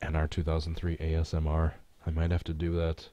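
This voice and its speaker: Low-voiced congested man streaming casually. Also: monotone, fairly quick, warm tone, dry recording. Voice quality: congested, stuffy nose. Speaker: sim racing live streamer. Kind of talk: casual live stream chat and game commentary.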